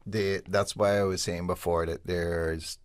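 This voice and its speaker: A man's voice: low-pitched, measured pace, dry recording, studio quality. A man with a Caymanian accent, an actor talking casually and with self-deprecating humor as a radio guest. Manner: relaxed, self-deprecating, joking.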